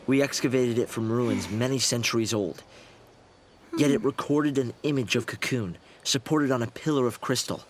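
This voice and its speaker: An actor playing an earnest, idealistic young research director in a video game, speaking in a measured, articulate way. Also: male voice, medium pitch, slight background noise, lightly acted. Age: young adult.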